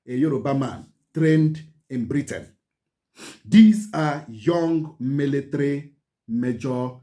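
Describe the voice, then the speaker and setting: Nigerian guy, kinda high voice, lecturing hard on history, keeps repeating himself for emphasis. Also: a little mellow. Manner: emphatic, repeats key points, urges listeners to pay attention; mixes Igbo phrases into English. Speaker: channel host. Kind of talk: history commentary to camera.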